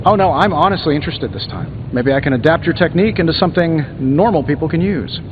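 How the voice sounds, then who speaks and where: A man's voice: a little high, animated, fast, highs cut off, noisy background, natural voice. A man playing a cocky, wisecracking genius inventor superhero in a video game, with glib, sarcastic banter. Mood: wry, busy. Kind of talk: video game dialogue acting.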